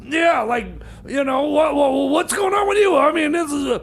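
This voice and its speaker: A man playing an excitable, scatterbrained time-traveling radio co-host, stammering and rambling through comedy banter with a strained, creaky voice. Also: very high-pitched, moderate pace, full character voice. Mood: excited.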